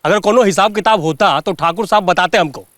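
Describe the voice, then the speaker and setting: An actor playing a curt, bullying farm overseer in a TV crime drama. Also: male voice, high-pitched, fairly quick, a bit bright, no echo, clean studio sound. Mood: irritated. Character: brusque, irritable farm overseer. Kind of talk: television crime drama acting. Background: faint music.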